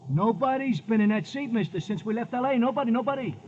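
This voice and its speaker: Over a dull, faintly noisy old radio recording comes a talkative racetrack gambler. His voice is high and fussy. He says his slangy phrases over again. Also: animated, quick pace, a little mellow. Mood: fussy, chatty. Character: chatty, fussy male racetrack gambler on a train. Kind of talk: 1950s radio comedy character acting.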